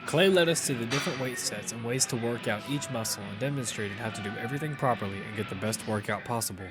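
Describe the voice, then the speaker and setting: A man's voice: mid-range voice, fast, over loud music, natural voice. A man playing a casual, confident young gamer who narrates his story in the first person and teases his friends. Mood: narrating. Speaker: narrator and lead character. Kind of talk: first-person audio fiction narration and dialogue.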